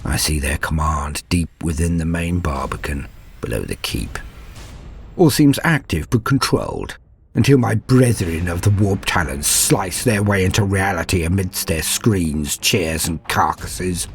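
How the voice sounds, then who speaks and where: A man voicing an ancient, proud and cruel warrior who narrates battle in a grandiose, measured, menacing way. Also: low-pitched, expressive, husky, heavily acted. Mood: cold menace. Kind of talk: dramatic first-person war storytelling.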